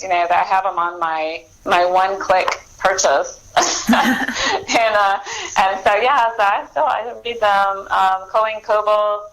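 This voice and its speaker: A woman's voice: medium pitch, fairly bright tone, slight background noise, rough recording. A middle-aged woman speaking as an author guest in a casual interview, chatty, often doubling back and correcting herself. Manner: chatty, halting and self-correcting.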